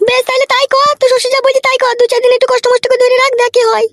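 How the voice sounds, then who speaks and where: A man voicing a bossy, scolding older village mother-in-law in a comic cartoon, pitch artificially raised. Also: monotone, rapid-fire. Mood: insistent. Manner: nagging, coarse, bossy. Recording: clearly noisy track with clicks.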